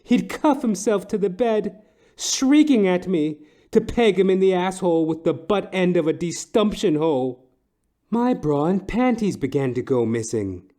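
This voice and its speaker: A man's voice: sits high, animated, measured pace, dark tone. A man playing a bitter, haughty middle-aged wealthy wife with pompous, theatrical old-fashioned diction in a noir audio comedy. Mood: indignant.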